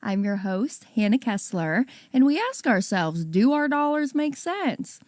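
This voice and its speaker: A woman's voice: a little high, sing-song, measured pace, a little mellow, dry recording. Host: woman, friendly and upbeat, casual podcast delivery, dry close sound.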